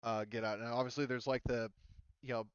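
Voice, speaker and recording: A man running a tabletop roleplaying game as game master, casual and halting as he thinks aloud. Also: medium pitch, little pitch movement, smooth, dry recording, highs cut off.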